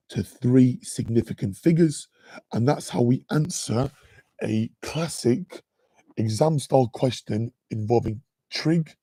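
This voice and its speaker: Man, deep mellow voice, slightly husky, dry close sound, chatty maths tutor. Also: no room sound. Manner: chatty, says "okay" and "guys" constantly. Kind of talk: live-streamed exam revision lesson.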